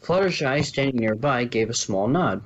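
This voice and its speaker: A male narrator reads fan fiction in a medium-pitched voice. He follows a script, occasionally tripping on words, and the recording is a bit dull and lo-fi. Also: expressive, normal speed. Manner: stumbles over words and corrects himself.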